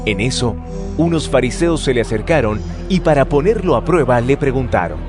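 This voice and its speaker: A man's voice: mid-range voice, lively intonation, moderate pace, music in the background. A man narrating scripture for an audio drama in a scripted storytelling style.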